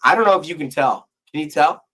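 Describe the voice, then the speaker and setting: A hoarse young man co-hosting a casual sports podcast, losing his voice and restarting his sentences as he rambles on. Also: fairly high pitch, lively intonation, fast, pushed and bright. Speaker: podcast co-host.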